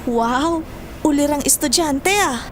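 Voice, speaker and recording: Woman acting a bossy girl student, very high pitch, Filipino accent, teasing, drama banter, faint background noise. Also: slow pace. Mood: teasing, brash. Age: young adult. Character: bossy, teasing young female student friend. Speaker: voice actor.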